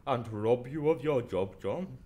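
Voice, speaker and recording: Audio drama lead, male actor: arrogant, easily bored genius detective, medium-pitched, condescending and curt. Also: animated, a little slow, warm tone, close to an everyday voice. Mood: bored, dismissive. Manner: dismissive, clipped, condescending.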